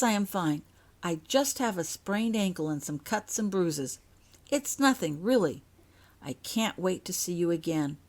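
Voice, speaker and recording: A woman's voice: mid-range voice, voice pushed up, lightly acted. A woman voicing a young girl who happily reassures her parents in a storybook.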